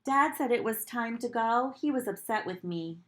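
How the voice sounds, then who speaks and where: A woman reading a picture book aloud to young children in a deliberate, animated teacher's manner, with little asides to them. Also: a little high.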